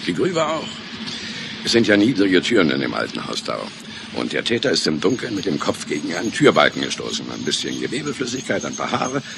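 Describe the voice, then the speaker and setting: Very deep, gravelly police detective. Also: male voice, wildly swooping pitch, a little fast, background noise, exaggerated voice. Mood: grave, confiding. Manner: sardonic, curt. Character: gruff, cynical hardline male police detective. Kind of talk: radio crime drama acting.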